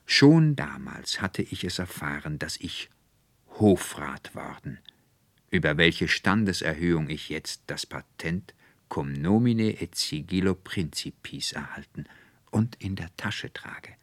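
A man's voice: deep voice, lively intonation, slow, no echo, exaggerated voice. A man voicing a solemn, self-important official in a storybook reading, speaking with ceremonious, pompous formality. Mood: solemn, proud.

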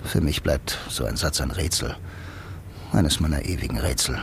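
A man's voice: a bass voice, moderate pace, gravelly, slight background noise. An actor playing a blind bank clerk who narrates his own story in a dry, laconic, musing way for a radio drama. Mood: brooding. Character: blind male bank clerk, wry film noir loner.

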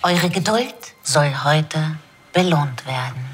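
An actress playing a composed female schoolteacher in a German audio drama, measured and formal. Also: sits low, animated, faint music behind. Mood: solemn.

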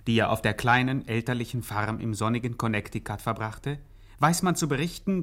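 A man playing an earnest, ceremonious biographer who narrates a German radio satire deadpan in 1950s style. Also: mid-range voice, natural voice. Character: earnest mock-solemn biographer of a famous gangster.

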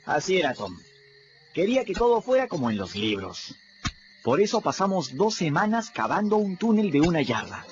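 A man's voice: a little high, sing-song, moderate pace, dull audio, slight background noise. An actor narrating as a plain-spoken boy in a radio drama, with a wry storytelling manner.

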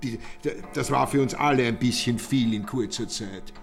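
An actor playing a chatty, pompous, fussy village count in a radio play, with an Austrian accent and hesitant repetitions. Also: male voice, mid-range voice, moderate pace, vocal fry, faint music behind, close to an everyday voice. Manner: affable, pompous, repeats and hesitates.

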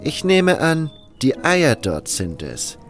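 Man playing a stern, measured camp boss. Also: a little high, huge pitch swings, soft background music, heavily acted. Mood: stern, insistent. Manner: slow, formal, authoritative. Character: commanding, demanding male camp boss, formal and measured.

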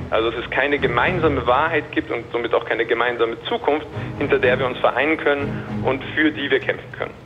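A man giving earnest, explanatory expert commentary in everyday speech. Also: mid-range voice. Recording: dull lo-fi sound. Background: prominent music.

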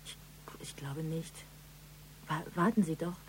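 An actress playing a shy, flustered young witness in a radio crime drama, speaking softly and haltingly. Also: female voice, low voice, slight background noise. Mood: hesitant, recollecting. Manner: halting, hesitant, soft-spoken.

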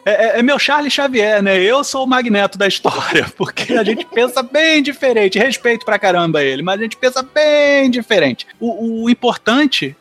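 A man's voice: very high-pitched, expressive, moderate pace, hardly any pauses, fairly bright tone. A Brazilian man talking as a podcast panelist in a slangy, opinionated, joking way. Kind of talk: casual podcast chat.

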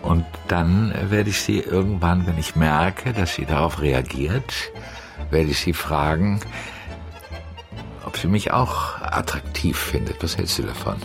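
An actor playing a bashful older man who speaks haltingly and earnestly in a naturalistic radio drama. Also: low-pitched, animated, creaky, over music, exaggerated voice. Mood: embarrassed, earnest. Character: bashful older man clumsily planning how to court.

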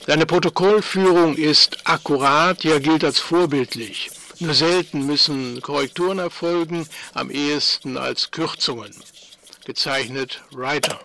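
Precise male bureaucrat, slow, sharp bright voice, dry official delivery, radio drama. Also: a little high, heavily acted. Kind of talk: radio play acting.

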